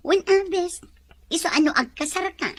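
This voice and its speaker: Woman as chatty young friend, Ilocano accent, high pitch, slow pace, audio drama. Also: lively intonation, full character voice. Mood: agreeable. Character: agreeable, chatty young female best friend.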